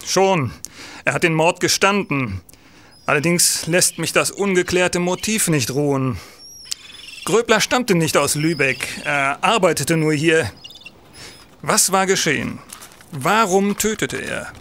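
An actor playing a brooding, troubled police senator in a radio play, speaking gravely and formally. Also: male voice, fairly high pitch, lively intonation, slow pace, a bit bright, slight background noise.

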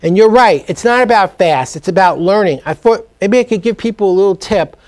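An emphatic, energetic middle-aged man with a high voice, speaking as a guest on a studio talk show. His lapel mic gives a close, clean sound. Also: a little fast. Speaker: guest, speed reading teacher. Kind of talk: TV business interview. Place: TV studio set.

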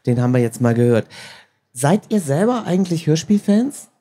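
A man with a husky voice hosting a live on-stage interview. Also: fairly high pitch, sing-song, normal speed, mellow. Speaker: interviewer, audiobook producer. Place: stage at a book fair.

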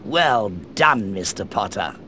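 An actor playing an eccentric, eerie old British wand maker in a video game, speaking in a theatrical, courteous, drawn-out way. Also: male voice, fairly low pitch, animated, heavy background noise. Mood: pleased. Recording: game music behind the voice.

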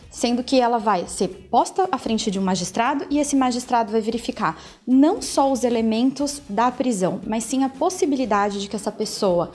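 A woman in her thirties, an invited lecturer, gives a measured, explanatory interview statement into a handheld mic in an office room. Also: a little high, darkish tone. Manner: measured, explanatory, with small restarts. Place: office room with logo wall. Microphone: handheld mic held below the face.

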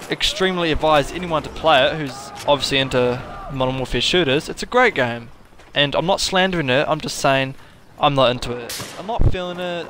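Young male gaming vlogger, croaky with a cold, rambling and self-correcting, gunfire loud behind him. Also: mid-range voice, noisy background. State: croaky from a cold and sore throat. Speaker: gaming commentator. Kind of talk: casual gameplay commentary. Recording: voice over loud game gunfire. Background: gunfire and artillery from the game.

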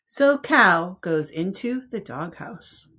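Slow-paced woman reading to preschoolers. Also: lowish voice, slight background noise. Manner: simple, expressive phrasing aimed at preschoolers. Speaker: preschool teacher reading aloud. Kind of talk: picture book read-aloud for young children.

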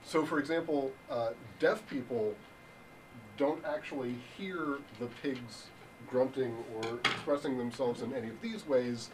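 A young man giving an improvised mock lecture in a deadpan, halting way, full of ums, in a hotel function room. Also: mid-range voice. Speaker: improv presenter. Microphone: far room camera. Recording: distant voice with room hiss.

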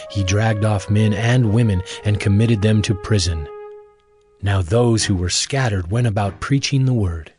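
A man's voice: fairly low pitch, a little mellow. A man giving scripted narration for a dramatized scripture audio production. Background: music.

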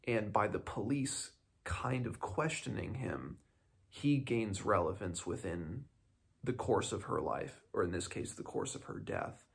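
A man's voice: lowish voice, expressive, a little slow, vocal fry, husky. A man in his twenties giving a casual, unscripted book review to camera in a small home room, thinking aloud hesitantly and often restarting his sentences. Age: 20s.

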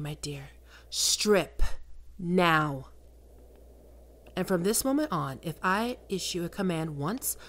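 Low voice, very slow, fry-heavy, domineering female demon, sultry whispers, ASMR roleplay, woman acting. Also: a little hiss or hum. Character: seductive, domineering female demon queen of lust.

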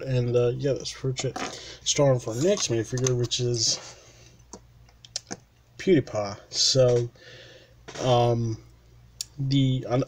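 A man casually showing his custom toy figures, halting with fillers and restarts, sniffling with a dry mouth, recorded on a camera mic at his desk. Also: mid-range voice, darkish tone. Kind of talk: casual showcase narration.

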